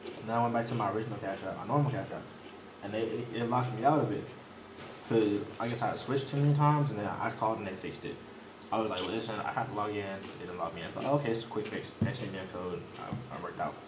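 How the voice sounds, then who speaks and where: A man's voice: fairly low pitch, fast talker. Slangy young man in an echoey room. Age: young adult. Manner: loose slangy banter, laughing often. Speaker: podcast co-host. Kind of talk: casual chat. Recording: echoey room with background noise.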